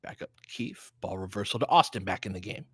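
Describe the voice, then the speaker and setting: American male fan-stream sports host, bright forward voice, very dry sound, informal and opinionated play-by-play. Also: fairly low pitch, lively intonation, quick pace, husky, no room sound. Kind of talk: basketball play-by-play mixed with casual fan chat.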